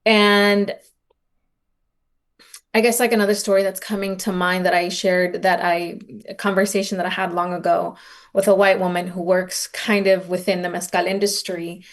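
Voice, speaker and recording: A woman's voice: on the low side, little pitch movement, moderate pace. Reflective woman in conversation. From raised in Los Angeles, born in Oaxaca. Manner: reflective, informal, frequent "like" and retold dialogue. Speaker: podcast guest, nonprofit director and advocate. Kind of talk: conversational interview answers.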